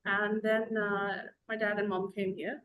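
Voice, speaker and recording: A woman from Zambia talks as a podcast guest in a loose, rambling way, pausing often as she tells her story. Her voice sits in an ordinary middle pitch. She comes through a video call. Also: flat delivery, narrow, tinny audio. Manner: rambling, conversational storytelling with many pauses. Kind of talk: casual podcast conversation.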